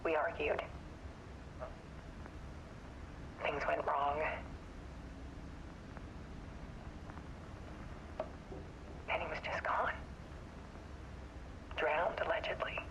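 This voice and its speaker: An actress playing a haunted, weary photographer who gives a subdued, halting, somber confession in a dramatic video game monologue. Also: female voice, deep voice, level tone, fairly bright tone. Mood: regretful. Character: haunted woman photographer recounting her troubled relationship, weary and bitter. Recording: dull lo-fi sound with clear noise.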